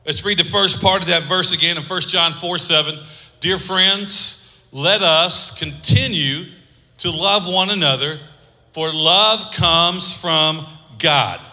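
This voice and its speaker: A pastor delivers a sermon in a voice that rings very bright, slightly high for a man. He calls on listeners to repeat words and drops small jokes. The sound carries a long reverb from a large hall. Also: slow pace. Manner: preaching with call-and-response and humor.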